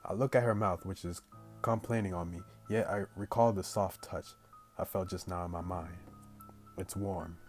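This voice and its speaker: A man hosting a gaming video, reading a story aloud casually with slangy, animated asides. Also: low-pitched, normal speed, soft background music. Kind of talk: casual let's play, reading story aloud with excited reactions.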